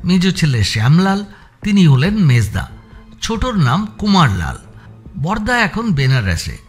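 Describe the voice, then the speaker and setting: Casting: male audio-story narrator, slightly high register, very expressive intonation, measured suspense storytelling.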